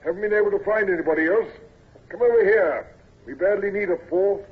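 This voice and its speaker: Hearty senior naval lieutenant, bluff and coaxing, raspy tone, tinny vintage radio sound. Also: male voice, high-pitched, fairly quick. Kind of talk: 1940s radio acting. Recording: tinny phone-like old radio recording.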